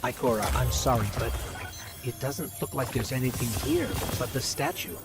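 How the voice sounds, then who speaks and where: An actor playing a small, earnest robot companion in a video game, a little apologetic in manner. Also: male voice, mid-range voice, moderate pace, over loud music, lightly acted.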